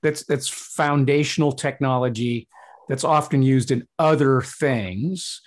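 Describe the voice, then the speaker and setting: A man hosting a podcast interview over a video call, speaking in a relaxed, hesitant way and often restarting his phrases. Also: medium pitch, measured pace. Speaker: podcast host.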